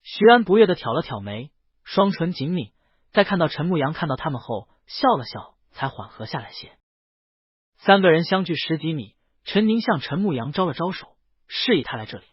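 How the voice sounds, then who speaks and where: A man narrates a romance story in a fairly high, husky voice with lively swings of pitch. The recording is close and dull, as in a lo-fi audiobook. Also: brisk, dry recording. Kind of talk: scripted narration of a romance novel. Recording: dull, lo-fi, close dry sound.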